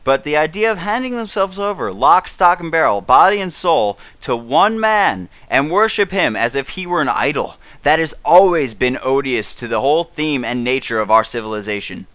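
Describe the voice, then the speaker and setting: A man performs as a grave British elder statesman delivering a 1930s wartime radio address. The voice is forceful and clean, recorded close and dry. He declaims with defiance, leaning hard on key words in stately oratory. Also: fairly high pitch, lively intonation, moderate pace, few pauses, no room sound. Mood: earnest, measured. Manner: declamatory, emphatic, dramatic stresses, occasional stumbles.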